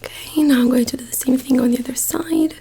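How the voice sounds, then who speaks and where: A woman doing a soft-spoken ASMR doctor roleplay in a breathy whisper, gently giving reassuring instructions close to the mic. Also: high-pitched, steady tone, fast. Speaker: ASMR performer playing a doctor.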